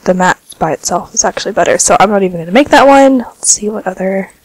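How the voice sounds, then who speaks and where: A woman talks casually over her game footage, a bit congested from a cold. Her voice sits in a mid range, and the recording is close and dry with no room sound. She rambles in a relaxed way, with plenty of ums. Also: mid-range voice, fast talker, dry recording, poor audio. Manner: relaxed, chatty, filler-heavy. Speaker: gaming vlogger. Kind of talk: casual gameplay commentary.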